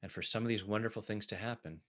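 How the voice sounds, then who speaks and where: A man working as a tapping coach, leading guided affirmations in short, measured phrases meant to be repeated. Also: fairly low pitch, a bit bright.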